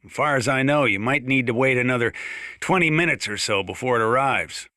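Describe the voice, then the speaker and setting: An actor playing a gruff, plain-spoken old small-town mountain sheriff with an American accent, talking over the radio in a video game. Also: male voice, medium pitch, sharp tone, dry recording, studio quality, close to an everyday voice. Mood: grim, resigned. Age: older. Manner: folksy, plain-spoken, drawn-out hesitations. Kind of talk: in-game radio broadcast monologue.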